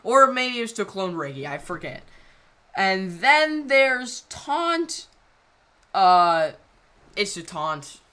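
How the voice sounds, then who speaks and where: A woman creator talking casually over a video, rambling and halting with lots of fillers. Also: medium pitch, very animated, bright, forceful tone, clear, resonant tone. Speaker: channel creator.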